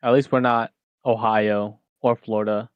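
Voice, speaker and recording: Male streamer co-host, teasing and quick, dry close mic. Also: lowish voice, steady tone, clean tone, dry recording, lo-fi sound. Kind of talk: casual stream banter.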